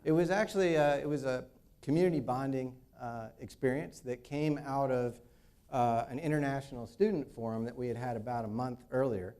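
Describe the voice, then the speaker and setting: A man's voice: mid-range voice, dark tone. A man, an engineering dean, speaking off the cuff on a panel with frequent "uh" fillers and restarts. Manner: halting, many "uh" fillers and restarts. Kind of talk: unscripted panel remarks.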